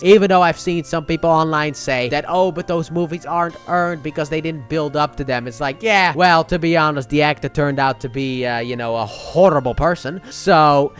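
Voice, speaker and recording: A man's voice: a little high, fairly bright tone. A man giving a casual, conversational movie review with emphatic, opinionated delivery. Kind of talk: casual video review commentary. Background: music under the voice.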